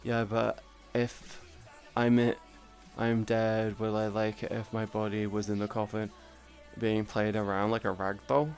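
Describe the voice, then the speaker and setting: A woman's voice: a bass voice, level tone, a little slow, a little mellow. A woman is chatting casually on a live stream. Her talk is informal and rambling, peppered with swearing. Music plays faintly behind her. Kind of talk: casual chat with stream viewers. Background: background music.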